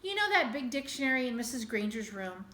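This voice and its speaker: A woman's voice: a little high, sing-song, a little fast, bright, forceful tone. A woman reader voicing an earnest, stubborn schoolboy in a storybook, plain-spoken and insistent. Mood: confident. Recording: echoey room.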